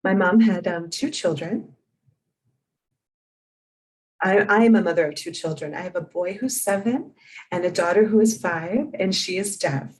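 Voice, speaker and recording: Woman, sign language interpreter, measured, steady, lowish pitch, distant mic on video call. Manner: measured, steady, spells names letter by letter. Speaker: sign language interpreter voicing a deaf panelist. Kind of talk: live spoken interpretation.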